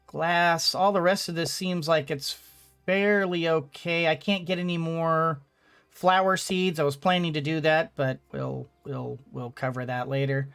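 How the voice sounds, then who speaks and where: A man's voice: a little high, steady tone, a little slow, gravelly, a bit bright, clear, resonant tone. A man giving casual let's-play commentary, rambling along and thinking aloud as he goes. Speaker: gaming video host.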